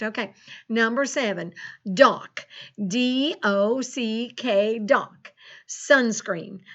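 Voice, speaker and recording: A folksy, chatty farmer played by a woman leads children through a lesson in a high, playful voice. The delivery is slow and exaggerated, with a country drawl and an easily sidetracked manner. The recording sounds dull and lo-fi. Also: bright, forceful tone. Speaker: school teacher leading spelling practice.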